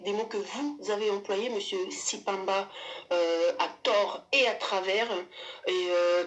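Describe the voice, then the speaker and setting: A woman recording a video statement, pausing often with fillers as she answers a critic. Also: mid-range voice, a bit bright. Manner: hesitant, many fillers, pointed rebuttal.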